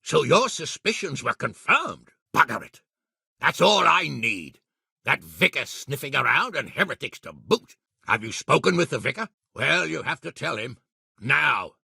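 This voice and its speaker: A hot-tempered medieval lord from a video game. His voice is very forceful and rough with rasp, swinging widely in pitch. He is blunt and commanding, every bit the coarse-tongued military leader. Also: sing-song, a little slow, no room sound, clean studio sound, exaggerated voice. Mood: exasperated. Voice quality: gravelly, raspy. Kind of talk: scripted game dialogue acting.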